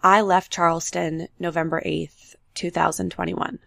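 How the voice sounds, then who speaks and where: A woman's voice: fairly low pitch, flat delivery, creaky, fairly bright tone, dry recording, studio quality. A young woman hosting a solo podcast, talking candidly and unhurriedly about her life, a bit nasal from the flu. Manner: candid, unhurried, personal storytelling. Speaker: podcast host. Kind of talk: solo reflective podcast talk. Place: apartment with road noise outside.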